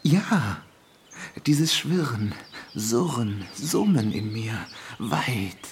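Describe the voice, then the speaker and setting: An actor playing a naive, lovestruck young ape-human student in a radio drama, dreamy, poetic and halting. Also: male voice, mid-range voice, expressive, a little hiss or hum. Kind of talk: German radio drama acting.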